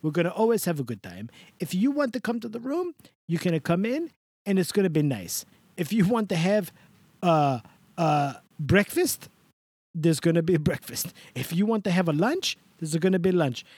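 A man voicing a sentimental, rambling older male host character who adds a stray "a" before words, with a very lively, up-and-down pitch. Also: moderate pace, dry recording, clean studio sound, full character voice. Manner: slips a stray "a" before words, broken grammar, over-the-top gushing. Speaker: podcast host. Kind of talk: comedic in-character podcast bit.

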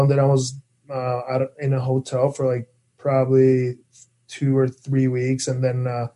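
A young man from the Czech Republic, a Czech native speaker, answering interview questions in English in a relaxed, halting way with many fillers. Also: mid-range voice. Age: young adult. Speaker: guest, pro hockey player. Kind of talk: casual interview chat.

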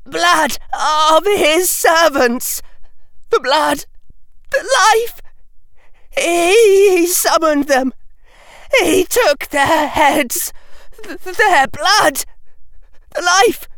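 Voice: extremely high pitch, dry recording, clean studio sound, pitched above the performer's own voice, full character voice. A narrator voicing a frantic boy wizard in an audiobook, with a strained, wavering, stammering delivery. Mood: frantic, shrieking. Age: child. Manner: halting, stammering, dramatic shouted exclamations. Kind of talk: audiobook character acting.

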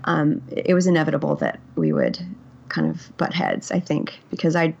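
A woman's voice: sits low, wildly swooping pitch, moderate pace, gravelly, a little mellow. A woman in her forties, a memoir author, talking candidly in an interview, reflective and hesitant with many fillers and restarts. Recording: dull, lo-fi audio.

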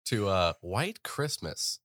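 A man with a low-pitched voice keeps a casual talk going, agreeing easily and moving things along with facts. The voice sounds dry and close, with no room echo. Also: big pitch swings, slow pace, a bit bright. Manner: agreeable, leads the topics, shares facts. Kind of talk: casual chat.

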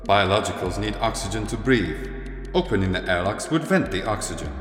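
Man voicing a low, echoing ship computer. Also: animated, a little fast, lightly acted. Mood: formal, puzzled. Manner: formal, clipped, emotionless. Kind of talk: science fiction audio drama acting. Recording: echoey, long reverb, music behind.

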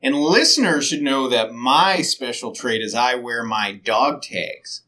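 Casting note: male co-host, slow drawl, lively sing-song pitch, bright tone, playful ribbing. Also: slight background noise. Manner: teasing, joking, slow and lilting. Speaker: podcast co-host. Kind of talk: casual podcast chat.

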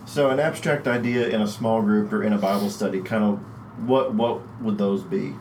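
A man's voice: lowish voice, steady tone, moderate pace, darkish tone, a little hiss or hum, rough recording. A man hosting a church podcast, reading a friendly, upbeat intro and asking his guest questions. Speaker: podcast host.

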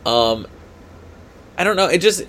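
A man's voice: high voice, animated, a little slow, a bit bright, a little hiss or hum. A man co-hosting a sports podcast in casual debate, often hedging and repeating his points for emphasis.

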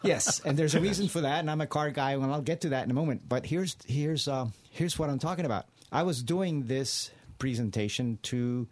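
A man's voice: mid-range voice, fairly quick, hardly any pauses, a little mellow. An older man from Cuba, a radio guest telling his family story in an earnest, digressive way. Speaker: studio guest.

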